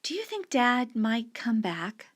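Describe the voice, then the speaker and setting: An earnest young boy with a raised, lighter voice, asking one careful question after another, as a woman reads him. He speaks slowly and keeps pressing for an answer. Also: a little high, sing-song, pitched above the performer's own voice, heavily acted. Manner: persistent, questioning.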